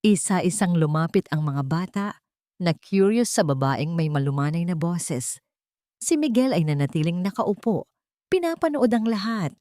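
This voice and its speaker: A woman's voice: lowish voice, animated, normal speed, mellow, no room sound, studio quality. A woman reading a scripted romance story as a dramatic narrator.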